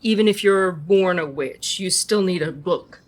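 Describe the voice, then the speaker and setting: A woman acting in an audio drama as a calm, reassuring support group leader who gently questions and encourages. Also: fairly low pitch, measured pace. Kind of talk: conversational audio drama acting, live recording.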